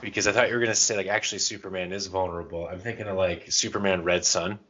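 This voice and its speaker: A man with a low but bright voice talks casually as a podcast co-host. He rambles and thinks out loud as he goes. The recording is a little dull and lo-fi. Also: steady tone, quick pace. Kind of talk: casual chat about tabletop roleplaying and comics.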